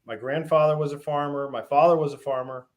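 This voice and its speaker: A middle-aged man from Western Massachusetts, a guest on an interview show, telling stories in a relaxed way with many pauses and lists. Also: fairly high pitch. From Western Massachusetts, USA. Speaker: guest, fish farm founder. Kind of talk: casual interview.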